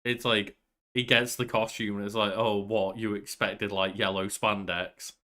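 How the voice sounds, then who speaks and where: A man's voice: fairly low pitch, flat delivery, measured pace, pushed and bright. A young man co-hosting a podcast in casual chat, with banter and some swearing. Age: young adult. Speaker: podcast co-host. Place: small bedroom at home.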